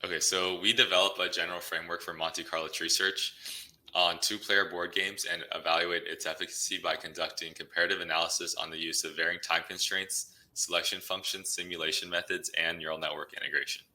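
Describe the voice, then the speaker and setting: A teenage boy reads his abstract in a deep, flat voice. His tone is very bright and sharp. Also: a little fast, low-quality recording. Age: teens. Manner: reads the text evenly. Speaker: student presenter. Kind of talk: reading a project abstract.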